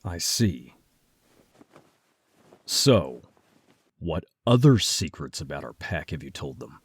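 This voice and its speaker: Casting: male werewolf brother played by a man, deep register, unhurried, gruff and protective, exasperated but caring. Audio drama. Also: big pitch swings, exaggerated voice. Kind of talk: audio story roleplay.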